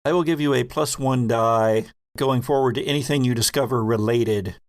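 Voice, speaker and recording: A man hosting and narrating a tabletop game as game master, dramatic and deliberate, with suspenseful pauses. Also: medium pitch, no echo.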